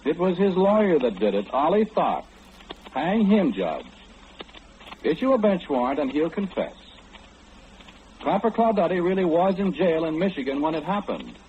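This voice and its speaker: An actor playing an eerie, all-knowing mind reader in old radio horror, speaking slyly and teasingly, straight to the listener. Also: male voice, fairly high pitch. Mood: insistent. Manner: sly, insinuating, theatrical, speaking confidingly to the listener. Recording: muffled, noisy old radio broadcast.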